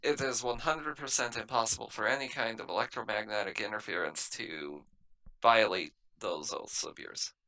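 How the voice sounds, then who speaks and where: A man's voice: on the low side, moderate pace, pushed and bright, rough recording. A man playing a wary, brash female mercenary in a sci-fi tabletop game, talking bluntly and casually. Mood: skeptical.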